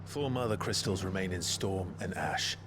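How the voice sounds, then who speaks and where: Man acting a deep-voiced brooding rebel leader, English accent. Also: close to an everyday voice. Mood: grave, resolute. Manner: grave, measured, commanding. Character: brooding, resolute male rebel leader in fantasy. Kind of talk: dramatic fantasy game acting. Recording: loud music behind the voice.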